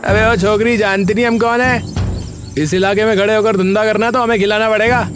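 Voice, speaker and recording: Village bully for a cartoon, played by a man: threatening, rough-spoken, raised pitch. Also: fairly even intonation, a little fast, music in the background, lightly acted. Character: menacing village thug, bullying and hot-tempered.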